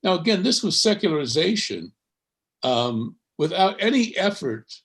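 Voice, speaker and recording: An older man, a history professor, explaining at length on an academic panel with a measured, lecturing delivery and frequent fillers. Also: high voice, big pitch swings, fairly bright tone.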